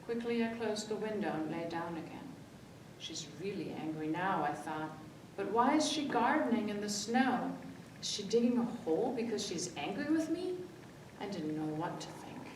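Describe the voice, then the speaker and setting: Female children's storyteller, expressive read-aloud, heard at a distance in a very reverberant room. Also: moderate pace. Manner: expressive, acts out the dialogue. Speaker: library story-time reader. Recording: echoey room, distant mic, faint rumbly noise.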